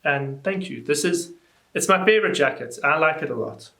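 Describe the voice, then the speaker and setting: Animated young man, echoey room. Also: moderate pace. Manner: animated, incredulous, rising pitch when exasperated. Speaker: YouTuber. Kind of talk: casual reaction vlog, reading comments aloud.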